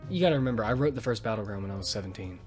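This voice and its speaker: A man's voice: lowish voice, animated, rapid-fire, darkish tone. A young man hosting a podcast in casual, slangy banter. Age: young adult. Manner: informal, slangy, swears freely. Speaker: podcast host and audio fiction writer. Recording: music behind the voice. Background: music.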